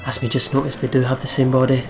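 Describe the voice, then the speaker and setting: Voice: medium pitch, monotone, fast talker, music in the background. A Scottish co-commentator chatting casually and reacting to a video game. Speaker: let's play co-commentator.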